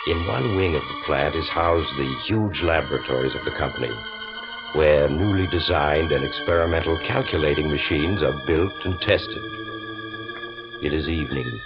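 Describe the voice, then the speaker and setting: A man playing a sinister yet genial storyteller, narrating a 1950s radio mystery in a measured, ominous way. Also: a bass voice. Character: sinister, genial male storyteller of strange, terrifying tales. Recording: muffled old radio recording, loud music.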